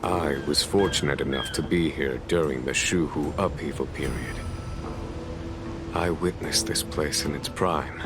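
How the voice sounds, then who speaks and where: A man playing a composed veteran general in a game cutscene, speaking in a measured, formal and authoritative way. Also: rumbling low, music in the background. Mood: solemn, composed. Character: composed veteran male general commanding knights. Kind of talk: video game cutscene acting.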